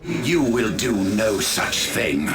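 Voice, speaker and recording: Evil warlord for a game, slow n lordly, scornful, voice swings a lot, menacing. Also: male voice, on the low side, sing-song, music in the background, heavily acted. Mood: cold command. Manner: pompous, measured, menacing. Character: lordly, contemptuous, patient evil Chaos warlord commander. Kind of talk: theatrical video game villain acting.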